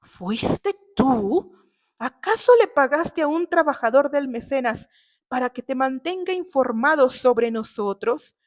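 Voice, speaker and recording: A woman's voice: sits high, lively intonation, a little slow, pitched above the performer's own voice. A woman voicing a proud, wronged young man in a dramatized novel reading, challenging and indignant. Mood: suspicious, confronting. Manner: challenging, interrogating.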